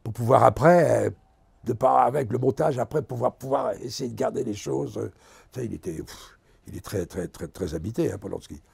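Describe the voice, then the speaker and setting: An older man, a veteran actor, telling animated anecdotes in a relaxed interview and acting out the lines of the people in his stories. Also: medium pitch, brisk, vocal fry, slightly rough. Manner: animated anecdotes, acts out quotes. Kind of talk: casual storytelling interview.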